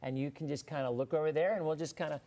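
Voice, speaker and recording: A man's voice: on the high side, fairly even intonation, fast talker, a little mellow. A man hosting a live weather show, chatting loosely with a guest and greeting viewers by name. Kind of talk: casual live TV interview chat.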